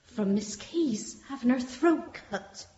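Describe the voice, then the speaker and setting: An actress playing an earnest, naive and respectful Victorian maidservant in a radio drama. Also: female voice, on the high side, lively intonation, slow. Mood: matter-of-fact. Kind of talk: radio courtroom drama acting. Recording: echoey, muffled, faintly noisy radio drama.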